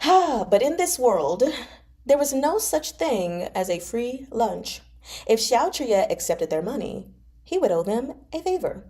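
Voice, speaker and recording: A woman's voice: fairly high pitch, big pitch swings, unhurried, dark tone. A woman hosting a read-along, narrating a novel expressively and adding casual, chatty commentary. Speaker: host of a live read-along.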